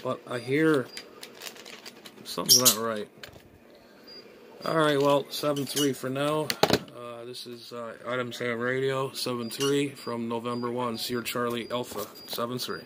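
A man's voice: mid-range voice, steady tone, unhurried. Man muttering casually over background noise. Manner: hesitant, muttering, thinking aloud. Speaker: amateur radio hobbyist vlogger. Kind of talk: casual unscripted gadget unboxing commentary. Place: small bedroom, indoors. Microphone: phone at arm's length. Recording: noisy phone recording with room sound.

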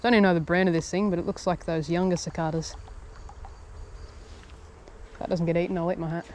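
A man talks to himself as he fishes, muttering in quick bursts with the odd whispered aside. He is recorded outdoors on a head-mounted camera, with a faint hiss of noise behind him. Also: a little high, steady tone, a little mellow. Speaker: fishing vlogger. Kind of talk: casual self-talk while fishing. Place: outdoors on a bush creek bank. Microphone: head-mounted action camera.

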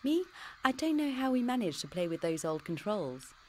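A woman's voice: medium pitch, big pitch swings, moderate pace, a little hiss or hum, natural voice. A woman voicing a cheeky British digital future self. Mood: teasing. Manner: cheeky, teasing and dodging questions. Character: computer-generated future self of a young woman, smug and evasive. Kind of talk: pre-recorded game dialogue.

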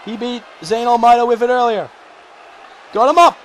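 A man calling a video game wrestling match play-by-play, shouting excitedly and going hoarse. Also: moderate pace, slight background noise. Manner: shouting, high-pitched and strained, losing his voice. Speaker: commentator.

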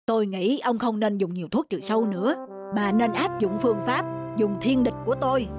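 A woman's voice: on the high side, a little fast, over music, lightly acted. A woman playing a conscientious, thoughtful male farmer in a children's cartoon, earnest and reflective.

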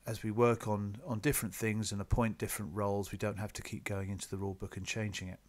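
Guy with a lowish voice talking thru slides for club members, businesslike, semi scripted. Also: flat delivery, quick pace. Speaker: sailing club officer presenting to members. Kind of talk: slide presentation, semi-scripted explanatory talk.

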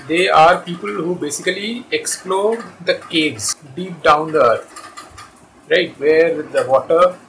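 A man's voice: a little high, sharp tone, slight background noise, poor audio. A man, presenting to camera in a small room and explaining things in simple short phrases. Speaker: host, cartoonist and illustrator. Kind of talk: scripted-style video introduction to camera. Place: small plain room indoors.

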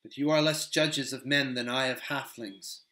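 A man voicing a grave, noble male captain of men who speaks slowly and softly. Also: medium pitch, natural voice. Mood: stern. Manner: slow, soft, measured. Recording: roomy echo.

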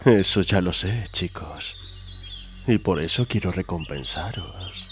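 Guy doing a creepy gumball machine boss, low slow oily voice, spain spanish accent, cartoon, music behind. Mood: creepy coaxing. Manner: slow, insinuating, unctuous. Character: creepy, predatory gumball-machine boss, smarmy adult male. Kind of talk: adult parody cartoon fandub.

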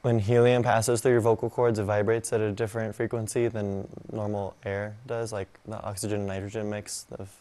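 A man's voice: fairly low pitch, little pitch movement, fairly quick, few pauses, mellow. A man in his twenties giving casual interview answers. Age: 20s. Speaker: street interviewee. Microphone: clip-on lapel mic.

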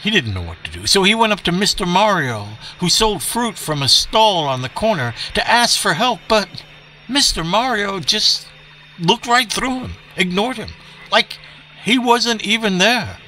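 A man voicing an eccentric professor who tells children's stories on old radio, theatrical and unhurried, with dramatic pauses. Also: sits high, very animated, bright, forceful tone, recorded from a distance, a little hiss or hum, exaggerated voice, poor audio. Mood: animated, theatrical. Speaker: storyteller.

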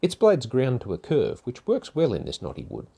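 An older man narrating a woodworking project in a calm, methodical, step-by-step way, as host of his own workshop channel. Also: mid-range voice, moderate pace, gravelly, breathy edge. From Australia. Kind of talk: instructional voice-over narration. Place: home garage workshop.

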